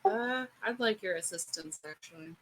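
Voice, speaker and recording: A woman's voice: medium pitch, lively intonation, moderate pace, fairly bright tone, breathy edge, low-quality recording. A woman chatting casually as a player in a tabletop roleplaying game.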